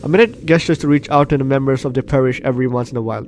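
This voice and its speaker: A man's voice: medium pitch, very fast, a little mellow, a little hiss or hum. A man hosting a game walkthrough, reading the on-screen story aloud and reacting in an exclamatory way. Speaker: gaming host. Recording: game music behind commentary. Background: game music.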